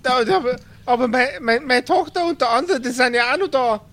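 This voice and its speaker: A man's voice: very high-pitched, brisk, fairly bright tone, voice pushed up. A man voicing a worried, scolding mother who stammers with indignation in an improvised audio play. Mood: worried, reproachful.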